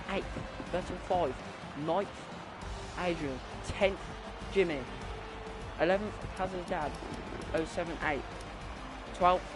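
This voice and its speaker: A man commentating a sim racing league with excitement, his voice strained and fading. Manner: excitable, voice climbing high at big moments. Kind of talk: excited live race commentary. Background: music.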